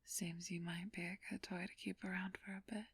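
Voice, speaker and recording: A woman playing a flirtatious, haughty ancient vampire thief in a whispered ASMR roleplay, teasing and condescending with a drawn-out purr. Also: lowish voice, flat delivery. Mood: haughty, amused. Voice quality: breathy whisper.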